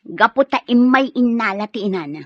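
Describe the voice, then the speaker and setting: A woman playing a sharp, scornful lady of the house. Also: fairly high pitch, expressive, brisk, no room sound, lo-fi sound, close to an everyday voice. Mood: accusing. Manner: scolding, cutting, commanding. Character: stern wife of the house, scornful, bossy. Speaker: actress in an audio drama. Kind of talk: radio drama acting.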